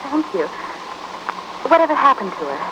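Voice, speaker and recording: A woman's voice: high-pitched, big pitch swings, moderate pace. An actress playing a young, trusting train passenger in a 1950s radio thriller, speaking in a polite, earnest, girlish way. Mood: chatty. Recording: noisy old radio recording.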